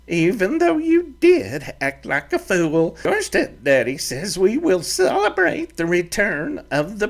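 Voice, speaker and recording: A woman's voice: on the low side, creaky, voice pushed up, heavily acted. A fiery little ranch mother. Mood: softened. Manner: sharp scolding, bossy. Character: small fiery-tempered ranch mother, scolding.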